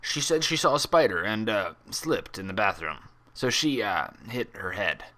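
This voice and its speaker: A man's voice: fairly low pitch, expressive, moderate pace, sharp tone. A man voicing a cowardly, grumbling stallion orderly in a story reading, whiny and nervous. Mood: nervous, stammering. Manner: muttering complaints, stammering when cornered.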